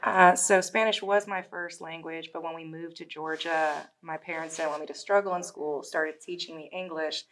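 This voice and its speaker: Relaxed woman with a lower voice. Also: moderate pace, few pauses, a little hiss or hum, rough recording. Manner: relaxed, laughs easily. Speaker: panelist. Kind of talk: casual panel answers.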